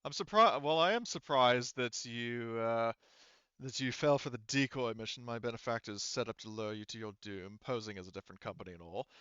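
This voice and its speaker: A man voicing a menacing frigate captain who delivers formal, deliberate threats in a tabletop game. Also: mid-range voice, a bit bright, smooth, no room sound, dull audio, close to an everyday voice.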